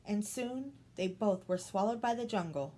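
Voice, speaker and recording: A woman's voice: mid-range voice, lively intonation, normal speed, mellow. A woman storyteller reading a children's story expressively.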